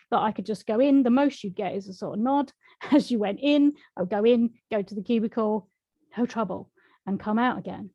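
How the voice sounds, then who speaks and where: Brief: frank woman author in interview, mellow tone, dry close sound. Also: fairly high pitch, no room sound. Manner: reflective, frank, laughs often. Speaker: author and guest. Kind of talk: conversational interview answers.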